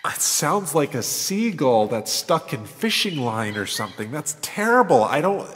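A man's voice: a little high, sing-song, measured pace, fairly bright tone. A man acting in an improvised radio play as a nervous, hesitant, complaining companion lost in the jungle. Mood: exasperated. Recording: long reverb, clear background noise.

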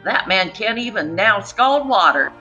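A woman voicing a friendly, teasing Southern male street vendor in a storybook, folksy and animated. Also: mid-range voice, a little slow, faint music behind, voice pushed up.

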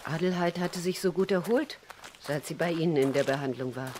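A woman's voice: deep voice, a little fast, darkish tone, close to an everyday voice. Female actor for a gracious society lady in mourning, radio drama, polite and formal, faint noise behind. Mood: courteous, sad. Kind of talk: German radio drama acting.

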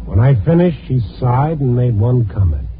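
A man's voice: medium pitch, sing-song, moderate pace, noisy background, lightly acted. An American man playing a tough, world-weary newspaper reporter in a hardboiled 1950s radio drama. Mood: weary, reflective. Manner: hardboiled, blunt. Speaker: lead actor and narrator. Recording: tinny old 1950s radio recording, music.

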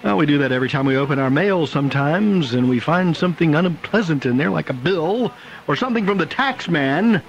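A man's voice: fairly high pitch, lively intonation, brisk, slight background noise. A male radio DJ with jokey, folksy patter between oldies records. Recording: muffled radio aircheck with loud music. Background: loud music.

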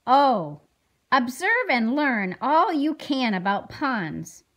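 Puppet show role: keen young schoolgirl played by a woman, high-pitched, very lively intonation, encouraging. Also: slow pace, full character voice. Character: eager, friendly fifth-grade girl in a puppet show. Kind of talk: scripted puppet show dialogue.

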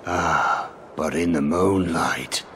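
An actor playing a menacing older pirate captain in a video game, sly and grandiose, with gravelly, theatrical pirate speech. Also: male voice, low voice, slow, a bit bright, a little hiss or hum. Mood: ominous. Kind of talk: dramatic video game acting. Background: game music.

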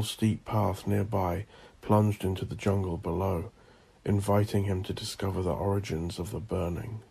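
A man narrating scripted horror fiction in an ominous, deliberate, theatrical way, with a gravelly, husky voice. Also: on the low side, warm tone.

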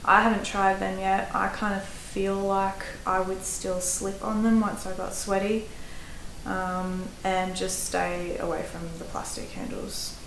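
A woman's voice: medium pitch, level tone, measured pace, creaky. Australian woman vlogger, roomy indoor sound. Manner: chatty, practical, with fillers. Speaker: hiking vlogger. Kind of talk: casual gear advice to camera. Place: small room indoors. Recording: roomy echo, faint background noise.

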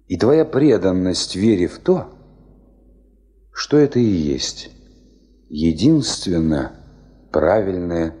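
A man's voice: medium pitch, warm tone. A man giving solemn, declamatory literary narration in a 1970s Soviet radio drama. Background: orchestral music.

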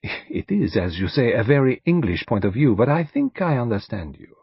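A man voicing a courteous, methodical foreign detective in an audiobook, with polite, measured and formal phrasing. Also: mid-range voice, very animated, husky, no room sound, muffled recording, voice pushed up, exaggerated voice. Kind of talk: audiobook character dialogue.

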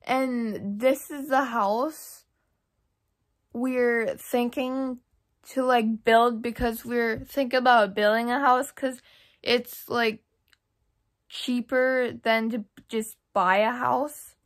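Slow, halting young woman vlogger. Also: fairly high pitch, steady tone. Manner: hesitant, halting, thinking aloud. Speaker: vlogger giving a house tour. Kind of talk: casual rambling home-tour chat to camera. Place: rooms of a home, indoors. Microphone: handheld camera or phone at arm's length.

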